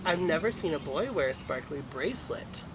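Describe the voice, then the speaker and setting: A generous old grandmother from a picture book, played by a reader. She is gentle and accepting, mid-pitched, with noise on the recording. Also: animated, brisk, close to an everyday voice. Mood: kindly.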